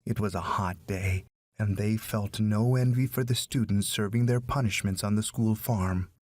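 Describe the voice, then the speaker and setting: A man's voice: fairly low pitch, steady tone, moderate pace, a little mellow, no room sound. Male storybook narrator, very dry sound. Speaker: audiobook narrator.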